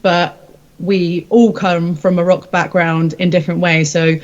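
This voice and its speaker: A woman's voice: on the low side, level tone, unhurried. Casual woman guest, slightly dull audio. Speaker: singer interviewed as a guest. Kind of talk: casual, conversational interview.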